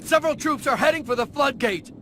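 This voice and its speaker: A man's voice: extremely high pitch, brisk, a bit bright, a little hiss or hum. A man playing a panicked, deferential soldier delivering urgent reports in a video game cutscene.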